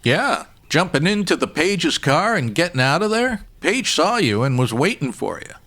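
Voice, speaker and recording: An actor playing a folksy, wry state trooper with easygoing authority in a comedy audio drama. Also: male voice, a little high, big pitch swings, normal speed, a bit bright, heavily acted. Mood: chatty.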